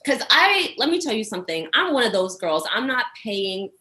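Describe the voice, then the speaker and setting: A woman from Washington, D.C., co-hosting a casual podcast chat and telling stories with lively confidence. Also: fairly high pitch, moderate pace. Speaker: podcast co-host.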